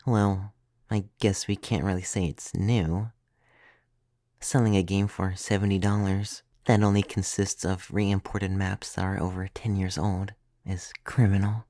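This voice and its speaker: Guy doing a flirty vampire femboy asmr, mellow gravelly soft voice, whispery, super dry and close. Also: sits low, little pitch movement, unhurried, no echo. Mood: wry, complaining. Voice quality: soft, breathy, often dropping to a whisper. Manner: intimate, teasing, reassuring. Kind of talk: ASMR roleplay. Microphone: close microphone, dry.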